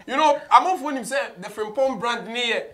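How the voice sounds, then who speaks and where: High-voiced Ghanaian male interviewer. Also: normal speed, over a noisy crowd. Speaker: radio show host, interviewer. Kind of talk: casual conversational interviewing. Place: small indoor studio.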